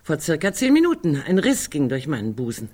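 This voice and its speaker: Husky, ironic woman with lively delivery. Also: low-pitched, brisk, exaggerated voice. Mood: wry. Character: Greta, sardonic, provocative sister of a squabbling family. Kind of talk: German radio drama acting.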